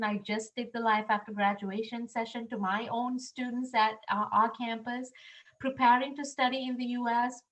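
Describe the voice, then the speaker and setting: A woman's voice: a little high, fairly bright tone, rough recording. A woman from Sri Lanka, an international programs director, presenting a webinar in a friendly way with many "um"s. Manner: friendly, filler-heavy speech with many "um"s.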